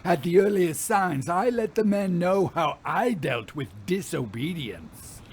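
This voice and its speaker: A defiant old sea captain played by a male storyteller rages in old-fashioned phrases, his pitch raised and swinging widely. He draws out words with scornful emphasis, over noticeable background noise. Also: expressive, a little mellow. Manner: archaic, indignant, emphatic speech with drawn-out words.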